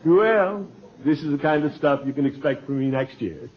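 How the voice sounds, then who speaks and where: An actor playing a pompous, self-important middle-aged club leader with a chuckle in 1940s radio comedy. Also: male voice, on the high side, moderate pace, breathy edge. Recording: muffled old 1940s radio recording.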